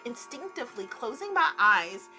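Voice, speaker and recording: A woman reading a visual novel aloud as a gaming creator, expressive and giggly, with gushing casual asides. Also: fairly high pitch, moderate pace, faint music behind, poor audio. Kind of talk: playful let's play read-aloud with casual reactions.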